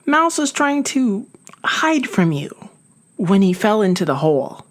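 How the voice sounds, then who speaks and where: A woman's voice: mid-range voice, sing-song, measured pace, voice pushed up. A woman voicing a sensible, bossy but caring hedgehog in a storybook, emphatic and reassuring. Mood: concerned.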